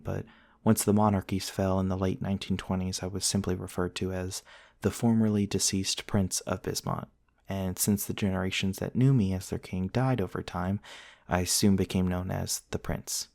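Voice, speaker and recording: A man playing a gentle, courteous centuries-old vampire prince in an intimate ASMR roleplay, soft-spoken and formal, with hesitant pauses. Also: deep voice, gravelly, dry recording, clean studio sound. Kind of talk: intimate ASMR roleplay, storytelling to one listener.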